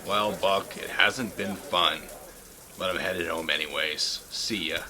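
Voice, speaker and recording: Man as a low-voiced, surly teen athlete. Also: unhurried, background noise. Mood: sullen. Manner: sullen, sarcastic. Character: surly, cynical teenage high school football player. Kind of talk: audio drama acting.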